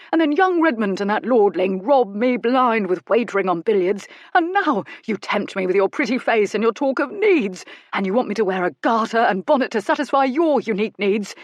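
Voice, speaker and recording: A woman acts out a self-righteous gentleman of the Regency era, puffed up with indignation and pompous certainty. His voice sits at an ordinary middle pitch and is heard close and dry. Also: animated, brisk, no echo. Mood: outraged.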